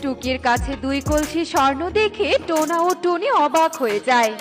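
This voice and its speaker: A woman's voice: high voice, lively intonation, moderate pace. A woman reading scripted narration for a children's cartoon story. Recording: loud music bed under voice. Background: music.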